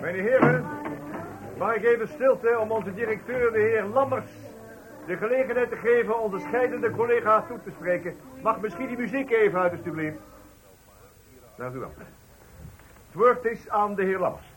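A high-pitched male voice of an actor as master of ceremonies in a radio drama. He announces formally and politely, voice raised, over clear background noise. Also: moderate pace, pauses often, natural voice. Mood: formal, calling for quiet. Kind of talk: Dutch radio play acting. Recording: noisy old radio recording.

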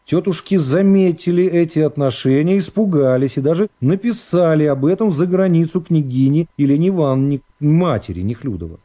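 A man narrating a literary radio play in a measured, expressive storytelling manner. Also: fairly high pitch. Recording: music behind the voice. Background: plucked guitar music.

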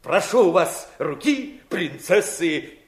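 A boastful fairy-tale prince in a radio play. His highish voice is animated and blustering, set in a roomy echo. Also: takes it slowly, full character voice.

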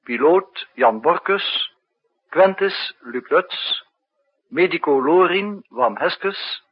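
A man's voice: on the high side, lively intonation, drawn-out words, husky. A man reading a scripted closing announcement for a 1960s radio drama, as its announcer. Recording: dull, muffled 1960s radio recording.

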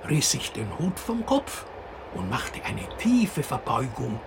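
A man's voice: medium pitch, heavily acted. A wry fairy tale narrator, radio drama, takes his time, voice swings up and down, noisy background. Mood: wry storytelling. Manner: deliberate, expressive storytelling. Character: wry, unhurried male teller of an absurd fairy tale. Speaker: storyteller.

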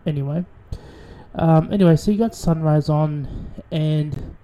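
A man's voice: on the high side, unhurried, highs cut off. A man with an Australian accent, hosting a radio show in a rambling, profane casual monologue with many restarts.

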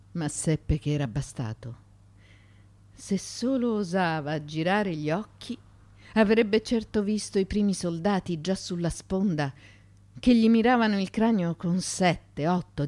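A woman giving an intense, theatrical literary reading for radio theatre, phrasing deliberately with pauses. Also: lowish voice, lively intonation, warm tone, no room sound. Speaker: actress reading literary monologue. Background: music.

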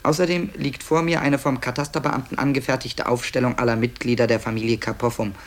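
A man's voice: medium pitch, fairly even intonation, a little fast. A man narrating a radio play in a conversational way, musing and hesitating, with wry asides. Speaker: author-narrator presenting tapes to listeners.

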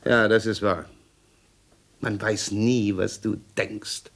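An actor playing a weary, talkative, suspicious husband in a 1960s radio crime play. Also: male voice, on the low side, level tone, slow, fairly bright tone. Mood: tired, suspicious.

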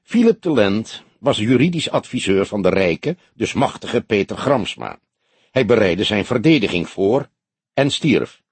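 A man voicing a wry old police detective in an audiobook, deliberate, needling and mock-innocent. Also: fairly low pitch, big pitch swings, husky, no room sound, full character voice. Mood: pointed, sinister. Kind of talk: Dutch audiobook character dialogue.

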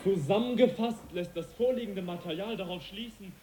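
An actor playing an earnest, middle-aged male naturalist in a German radio play, measured and educated. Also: high voice, a crowd in the background, natural voice. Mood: lecturing. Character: earnest, conscientious male naturalist and lecturer, troubled.

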